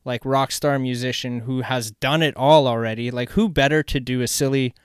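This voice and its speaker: A Canadian man with a smooth, clear voice hosts a casual podcast. He rambles excitedly in loose slang, and the sound is close and dry. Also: mid-range voice, moderate pace, no room sound. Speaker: podcast host. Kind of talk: casual chat, storytelling.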